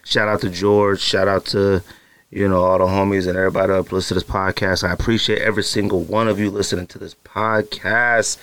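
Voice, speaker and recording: A man's voice: deep voice, level tone, a little fast, a bit bright, clean tone, dry recording. A man in his thirties hosting a solo sneaker podcast from his home studio, talking casually to his listeners with teasing, hyped-up direct address and lots of fillers. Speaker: podcast host.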